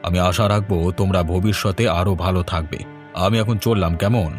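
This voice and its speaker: Cartoon village man male actor: inquisitive, mild-mannered, lowish voice over a music bed. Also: fairly quick, lightly acted. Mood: concerned. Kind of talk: cartoon voice acting.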